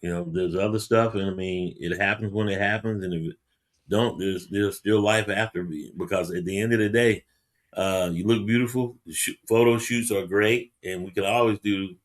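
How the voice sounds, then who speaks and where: A man's voice: fairly low pitch, steady tone, normal speed. A man hosting a podcast interview, encouraging his guest with frequent affirmations. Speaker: podcast host. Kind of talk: casual interview chat.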